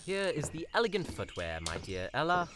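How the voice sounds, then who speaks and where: A man's voice: a little high, wildly swooping pitch, moderate pace, over music. A man playing a pompous, lilting fairytale prince. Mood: cheerful, lovestruck. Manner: pompous, flowery old-fashioned formal speech. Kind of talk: audio drama acting.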